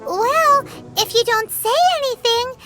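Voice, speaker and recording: A woman playing a small, earnest, helpful fairy companion in a video game, with a childlike squeaky voice. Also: measured pace, sharp tone, faint music behind, heavily acted. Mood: sympathetic.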